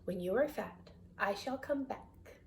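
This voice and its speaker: A hungry storybook coyote who growls his threats but is easily fooled, as a woman puts him on. He talks slowly, staying close to the reader's own middle-pitched voice. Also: expressive, slight background noise. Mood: agreeable. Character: hungry male coyote, gruff and gullible.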